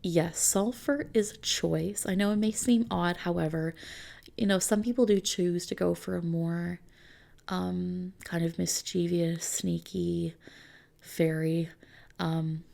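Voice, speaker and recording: A woman doing an ASMR roleplay as a customization consultant, talking softly and unhurriedly to a customer in a gently explanatory, reassuring way. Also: fairly low pitch, fairly even intonation, takes it slowly, creaky. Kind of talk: ASMR roleplay, soft customer-service talk.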